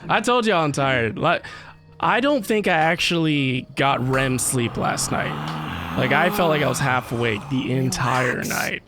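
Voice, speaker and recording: A man with a mid-pitched voice is streaming a video game. He rambles casually, thinking out loud and talking to his viewers, while game music plays behind him. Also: animated, measured pace. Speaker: livestream host playing a video game. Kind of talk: casual livestream chat.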